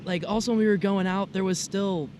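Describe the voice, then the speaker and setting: A young man from the United States co-hosting a podcast, telling stories in a casual, animated way full of "like" and "I was like.". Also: high voice, a little fast, slight background noise. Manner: animated storytelling, lots of "like" and "I was like". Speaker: podcast co-host. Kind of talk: casual conversation. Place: outdoors in the mountains.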